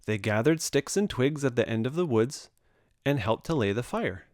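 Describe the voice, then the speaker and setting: A man reading a children's story aloud with expressive narration. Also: mid-range voice, normal speed, dry recording, studio quality. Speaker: storybook reader.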